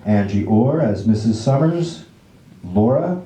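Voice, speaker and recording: A man's voice: fairly low pitch, lively intonation, a little slow, warm tone, a little hiss or hum. A man hosting a live staged reading, introducing it casually to an audience in a wry, theatrical way. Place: indoor library event room. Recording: echoey room recording.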